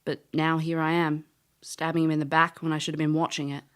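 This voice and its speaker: A woman's voice: low-pitched, level tone, a little fast, clear, resonant tone, natural voice. An actress playing a world-weary female elf, a former thief, dry and blunt in an audio drama. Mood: downcast, regretful. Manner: dry, blunt, matter-of-fact.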